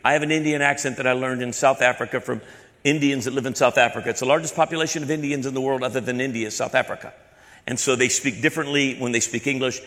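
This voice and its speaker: A man's voice: medium pitch, steady tone, fast talker, fairly bright tone. A male pastor from the American South preaching a sermon with repeated, building phrases. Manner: preaching with repetition and building emphasis. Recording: echoey hall with long reverb.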